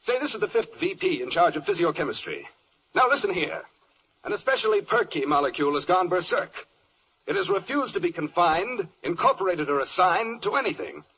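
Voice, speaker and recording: An actor playing a flustered, fussy cosmic official who dithers and exclaims in rhyme, in a 1940s comic radio style. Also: male voice, high voice, sing-song, fairly quick, bright, forceful tone, husky, heavily acted. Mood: agitated, official. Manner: dithering, self-muttering, exclamatory, speaking in rhyme. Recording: dull, noisy vintage 1940s radio recording.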